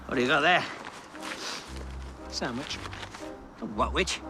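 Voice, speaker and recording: A man's voice: medium pitch, animated, slow pace. An actor, a man, delivering comic dialogue in a film. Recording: music behind film dialogue.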